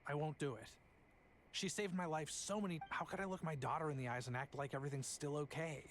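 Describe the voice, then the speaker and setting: A man's voice: on the high side, steady tone, fast, a little hiss or hum, natural voice. A man playing an anxious, guilt-ridden father. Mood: desperate, pleading. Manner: hesitant, pleading, anxious. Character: guilt-ridden, timid father forced into betrayal. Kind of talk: video game voice acting.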